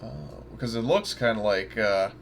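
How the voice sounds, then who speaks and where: Lower-pitched man, casual gaming chat. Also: measured pace, gravelly, a crowd in the background. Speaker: player. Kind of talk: casual chat during an online game. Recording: faint background noise on online call.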